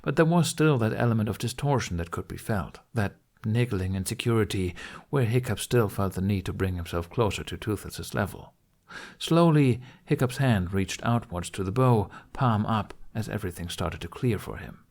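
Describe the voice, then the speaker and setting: A man's voice: fairly low pitch, animated, moderate pace, creaky, a little mellow. A man narrating scripted fiction as an audiobook reader, telling the story in prose.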